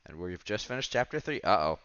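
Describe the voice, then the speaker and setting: Low-voiced man reading a visual novel aloud. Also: big pitch swings, fairly quick, fairly bright tone, smooth, highs cut off. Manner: stumbles over words while reading, breaks off for asides. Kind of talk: live read-aloud with casual commentary. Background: game music.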